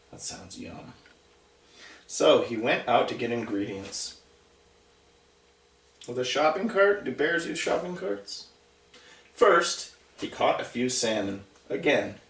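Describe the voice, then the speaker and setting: Echoey man reading a children's storybook. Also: medium pitch. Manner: conversational, asks the listeners questions. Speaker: storybook reader. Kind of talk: reading a picture book aloud to young children, with chatty asides. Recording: roomy echo, distant mic.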